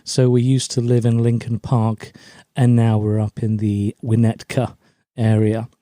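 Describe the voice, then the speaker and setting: Middle-aged man, mellow, dry close sound. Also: mid-range voice, monotone, measured pace, no echo. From Germany, in a British forces family. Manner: chatty, anecdotal storytelling. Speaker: podcast guest, LinkedIn brand coach. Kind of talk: conversational interview answers.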